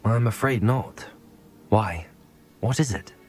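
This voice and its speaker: An actor playing a composed young wizard student who speaks in a polite, measured and dry way. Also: male voice, fairly low pitch, a little mellow, faint music behind. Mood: calm. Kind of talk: video game voice acting.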